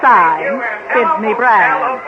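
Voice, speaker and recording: An actress playing a busy, businesslike woman in crisp 1930s radio drama style. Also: medium pitch, lively intonation, very slow. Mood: brisk. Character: busy woman reading out a telegram to her darling. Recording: muffled 1930s radio recording, loud crowd noise.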